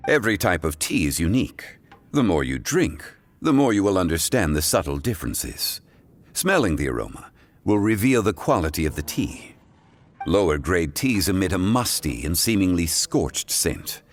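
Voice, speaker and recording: An actor playing a calm, erudite, old-fashioned gentleman in a video game, speaking in a formal, deliberate way. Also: low voice. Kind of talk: scripted video game voice acting. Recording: game audio with music behind.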